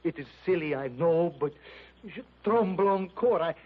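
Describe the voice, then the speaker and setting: An actor playing an excitable French lieutenant with a French accent, in 1930s radio drama style. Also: male voice, on the high side, normal speed, breathy edge. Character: excitable French lieutenant, middle-aged man. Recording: muffled old radio transcription, faint hiss.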